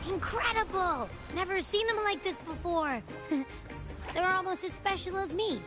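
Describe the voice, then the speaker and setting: A woman's voice: very high voice, measured pace, over music. A woman voicing a small, cheeky, proud talking creature in a video game, sassy and cutesy in manner. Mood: amazed, boastful.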